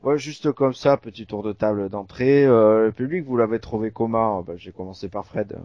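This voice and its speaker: A man's voice: on the low side, moderate pace, no room sound, dull audio. A man with a southwestern French accent hosting a casual podcast, chatty and full of filler sounds. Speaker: podcast host.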